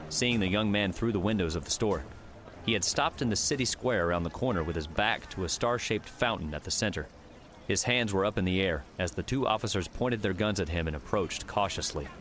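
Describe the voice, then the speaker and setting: Deep-voiced man narrating an audiobook. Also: a bit bright. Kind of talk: scripted narration.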